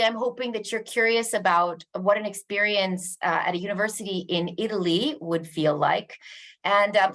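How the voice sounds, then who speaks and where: A woman from Canada who works in a university's international office, giving a measured webinar presentation with frequent ums and uhs. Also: mid-range voice, fairly bright tone.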